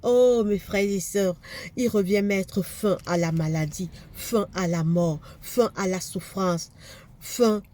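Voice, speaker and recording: Woman preacher with a cold, warm mellow tone, fervent and repetitive delivery. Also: mid-range voice, slow. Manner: fervent preaching, repeats phrases for emphasis. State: has a cold, congested. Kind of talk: sermon.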